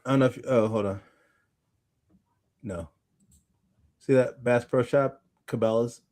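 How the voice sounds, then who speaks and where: A man's voice: mid-range voice, a little slow, darkish tone. A tired man hosting a podcast, chatting casually in a rambling way and often backtracking mid-sentence. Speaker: podcast host. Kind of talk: casual chat.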